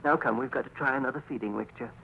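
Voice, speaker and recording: An actor playing an elderly, stubborn and excitable professor who speaks urgently and haltingly in a 1940s radio drama. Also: male voice, mid-range voice, gravelly, natural voice. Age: older. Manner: urgent, halting with eager repetition. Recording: crackly tinny old radio recording, hiss.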